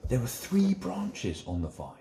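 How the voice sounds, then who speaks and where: A man's voice: medium pitch, wildly swooping pitch, measured pace, creaky, mellow, roomy sound, slight background noise, exaggerated voice. A man voicing an eager royal wine taster in a Bible story, with an emphatic, wondering manner. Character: chief wine taster, eager male royal servant recounting dream.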